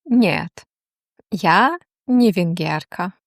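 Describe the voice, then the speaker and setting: A woman teaching a language, reading slow, deliberate question-and-answer drills with long pauses. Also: lowish voice, expressive, no room sound, clean studio sound.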